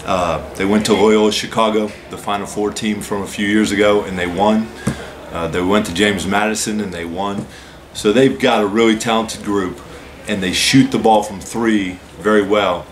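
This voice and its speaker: Measured lowish-voiced coach at a press table. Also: male voice, fairly even intonation, background noise. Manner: measured, explanatory, many "uh" and "so" openers. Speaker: head basketball coach. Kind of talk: postgame press conference answers. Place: press room with a team backdrop, seated at a table.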